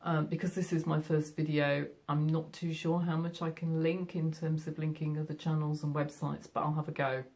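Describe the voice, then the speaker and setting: A woman's voice: deep voice, flat delivery, normal speed, hardly any pauses, darkish tone. A middle-aged woman with a Yorkshire accent, chatting casually to camera about her sewing from her sewing room at home, friendly and full of fillers. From Yorkshire, England. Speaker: sewing vlogger.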